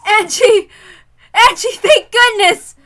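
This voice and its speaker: A woman voicing a desperate, tearful parent, pleading loudly with a child. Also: extremely high pitch, slow pace, faint music behind, pitched above the performer's own voice, full character voice. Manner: loud, pleading cries.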